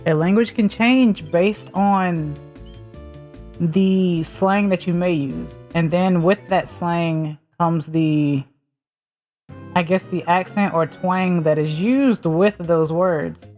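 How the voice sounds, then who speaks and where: Woman, mild Southern US accent, low voice, casual streamer chat, rambling, faint background music. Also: darkish tone. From Southern United States. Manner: rambling, thinks aloud with many fillers.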